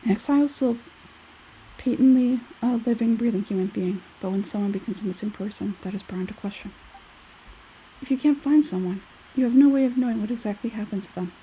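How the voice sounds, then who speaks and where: A woman voicing an aloof young male scholar in a video game, speaking in a measured, detached, analytical way. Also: medium pitch, dark tone, slightly rough, low-quality recording. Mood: detached, explaining. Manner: measured, detached, matter-of-fact. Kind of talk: video game character dialogue read aloud.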